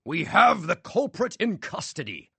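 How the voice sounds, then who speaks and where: A man's voice: sits high, very animated, gravelly, sharp tone, husky, no room sound, voice pushed up, heavily acted. A man putting on a grand, smug stage magician. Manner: grand, drawn-out showman delivery. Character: showy male stage magician, theatrical and smug.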